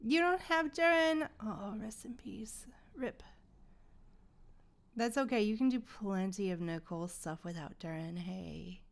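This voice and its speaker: A woman's voice: medium pitch, a little slow, gravelly. A woman streaming a game, chatting casually with viewers in gamer slang. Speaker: game livestreamer. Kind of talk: casual livestream chat.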